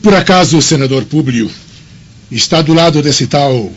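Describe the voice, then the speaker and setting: A rather high, husky voice for an authoritative Roman governor. He speaks like a solemn orator weighing a decision, with wide swings of pitch, in a dull-sounding radio drama. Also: animated, normal speed. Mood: deliberating, authoritative.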